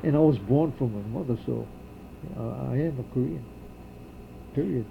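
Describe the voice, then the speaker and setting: An older man from Hawaii, speaking slowly and haltingly with many pauses and restarts, as he answers questions in an oral history interview. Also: mid-range voice, vocal fry, narrow, tinny audio, a little hiss or hum.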